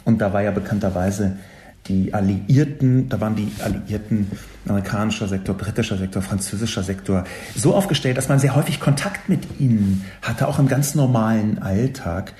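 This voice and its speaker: A man from West Berlin, a columnist, giving an argumentative monologue with sharp emphatic peaks and frequent filler sounds. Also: lowish voice, a little fast, echoey room, a little hiss or hum. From West Berlin, Germany. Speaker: columnist responding to reader comments. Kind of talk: monologue commentary.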